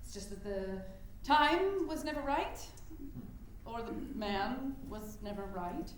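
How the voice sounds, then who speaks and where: In a very echoey room, a woman acts out a proud nineteenth-century spinster suffragist on stage. Her voice sits fairly high and her delivery is prim, clipped and defensive, with faint noise behind it. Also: lively intonation, slow. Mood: proud, indignant. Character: brisk, evasive middle-aged 19th-century suffragist, proud spinster. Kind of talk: staged reading, theatrical period dialogue.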